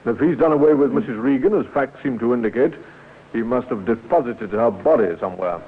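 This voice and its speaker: An actor playing a courteous but firm police inspector, clipped and authoritative, in a 1950s radio drama. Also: male voice, medium pitch, a little fast, lightly acted. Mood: businesslike. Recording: tinny, noisy 1950s radio recording.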